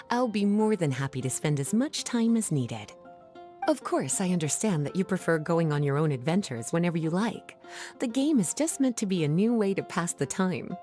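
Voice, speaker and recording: An actress voicing a kindly, poised adult woman in a video game, coaxing and gently teasing in an indulgent, unhurried manner. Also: on the low side. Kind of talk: animated video game voice acting.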